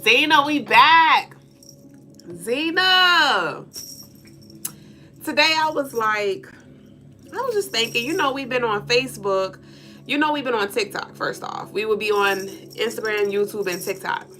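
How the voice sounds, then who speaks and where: A woman co-hosting a casual livestream, congested and nasal, lively and playful as she greets viewers by name. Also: on the high side, measured pace, long pauses, faint music behind. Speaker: livestream co-host.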